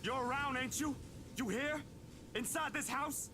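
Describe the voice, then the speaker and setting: Man acting agitated young man, very high pitch, pleading, horror game dialogue, heavy stream noise. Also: measured pace. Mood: urgent, pleading. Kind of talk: dramatic character dialogue in a visual novel.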